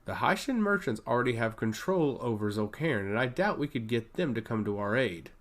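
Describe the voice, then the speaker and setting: A man's voice: medium pitch, animated, fairly quick. A man voicing a burdened, skeptical female chief advisor in a fantasy story, grave and dismissive. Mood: grim reasoning.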